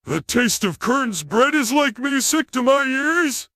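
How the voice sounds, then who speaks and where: A man voicing an eager, excitable cartoon pitchman in a commercial skit. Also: unhurried, no echo, full character voice.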